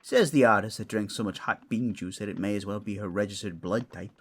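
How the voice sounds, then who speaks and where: A man playing a gruff, bookish older lord in a fantasy audio drama, wry, loyal and theatrical. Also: lowish voice, a little fast, a bit bright. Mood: wry, musing.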